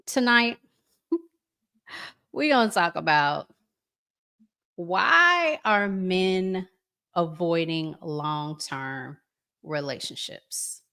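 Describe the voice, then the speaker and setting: A woman hosting a live stream, giving casual, conversational commentary to viewers with deliberate pauses. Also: fairly low pitch, lively intonation, pushed and bright, smooth.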